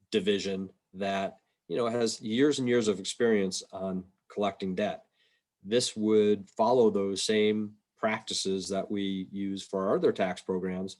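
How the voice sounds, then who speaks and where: A man giving an informal, explanatory presentation on a video call, plain-spoken and somewhat halting. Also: lowish voice, a little mellow. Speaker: state revenue agency policy presenter. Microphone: video call microphone.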